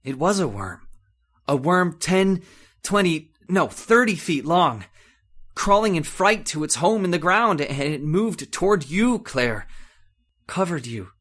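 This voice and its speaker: An actor playing a terrified, guilt-ridden man losing his sanity in an old-time radio horror monologue, halting and repetitive in melodramatic delivery. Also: on the high side, very animated, fairly bright tone, heavily acted. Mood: grim despair.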